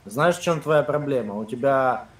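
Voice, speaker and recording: A man's voice: medium pitch, animated, normal speed, a loud crowd. A young man on a video call, asking blunt, questioning things in casual chat. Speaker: chat partner. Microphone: webcam on video call. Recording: echoey room.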